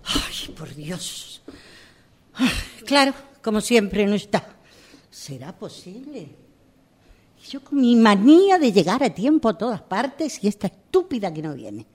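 An actress playing a frantic, impatient woman muttering irritably to herself in a radio theatre exercise, husky and sighing. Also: mid-range voice, big pitch swings, measured pace, darkish tone. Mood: exasperated. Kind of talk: improvised radio theatre monologue.

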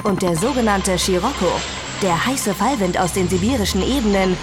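An actress playing a serious, urgent television news presenter, reading formally in a radio drama. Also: female voice, mid-range voice, normal speed, loud music behind the voice, natural voice. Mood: grave, formal. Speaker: news anchor.

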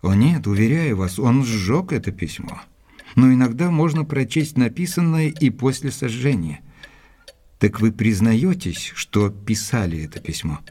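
Gentleman investigator, mellow, slightly husky, measured, polite, formal, audio drama with music behind. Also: medium pitch, expressive. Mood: polite, probing. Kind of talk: dramatized audiobook narration and acting.

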